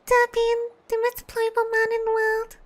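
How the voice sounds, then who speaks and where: A woman livestreamer talks casually to her audience while gaming. Her voice is extremely high in pitch, and the delivery is informal and chatty. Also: completely flat delivery, a little slow. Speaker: VTuber game streamer.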